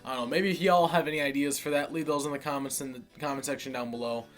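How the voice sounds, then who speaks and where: American guy hosting a video series, folksy casual storytelling, average pitch, guitar music in back. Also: steady tone, fast. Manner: folksy, addresses viewers as folks and y'all. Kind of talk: casual scripted storytelling and chat to viewers.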